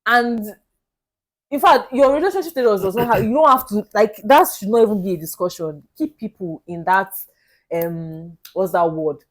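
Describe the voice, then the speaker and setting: A woman's voice: mid-range voice, moderate pace. Laughing woman co-host. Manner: laughs easily, short agreeing replies. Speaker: podcast co-host. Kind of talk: casual group discussion. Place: podcast studio.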